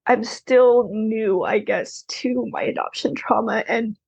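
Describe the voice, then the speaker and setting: A woman's voice: fairly high pitch, steady tone, slow pace, creaky, a little mellow, breathy edge, no echo, poor audio. A woman in her thirties, an author from Taiwan whose first language was Mandarin, speaking earnestly and candidly in an interview, close to tears. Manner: earnest, candid, frequent "like" fillers. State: tearful, close to crying. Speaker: guest, adoptee author. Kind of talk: emotional interview conversation.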